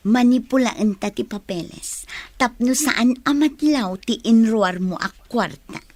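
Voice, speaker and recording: A woman's voice: fairly high pitch, a little slow, a little mellow, dry recording. A woman playing a cunning, greedy accomplice in a radio drama, sly and conspiratorial.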